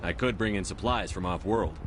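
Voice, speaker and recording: A man's voice: sits low, fairly quick, background noise, natural voice. An actor voicing a blunt, businesslike male starship commander in a video game. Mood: curt.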